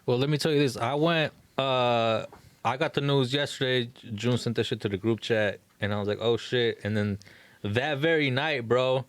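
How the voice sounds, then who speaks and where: A man's voice: mid-range voice, normal speed, smooth, dry recording. Slangy man, close dry sound. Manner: slangy, swearing, says bro often. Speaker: podcast co-host. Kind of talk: casual podcast chat.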